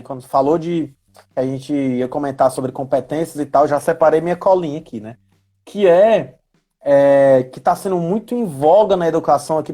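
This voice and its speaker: A man's voice: fairly high pitch, dark tone. A man with a Northeastern Brazilian accent from Ceará, explaining things in a casual, conversational way and often checking in with tag questions. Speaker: educator, guest on a live chat.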